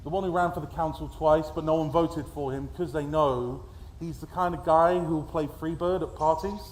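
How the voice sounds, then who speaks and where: A British man performs his own spoken-word poems at a live event, with a theatrical, deliberate storytelling delivery and dramatic emphasis. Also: fairly high pitch, steady tone, a little mellow. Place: live at a festival event in a room. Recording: roomy, echoey live event, background noise.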